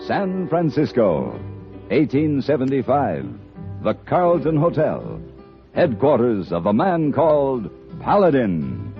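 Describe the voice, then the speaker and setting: A man's voice: medium pitch, huge pitch swings, slow pace. A man announcing in old-time radio style, with emphatic, salesman-like delivery. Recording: muffled vintage radio, faint music.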